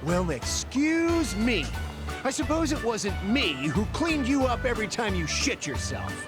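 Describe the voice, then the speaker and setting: An actor voicing a fussy, sassy older caretaker in a video game dub. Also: male voice, very high-pitched, very animated, measured pace, over music, heavily acted. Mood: flustered, huffy. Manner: sassy, huffy. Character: fussy, indignant older caretaker who raised the hero.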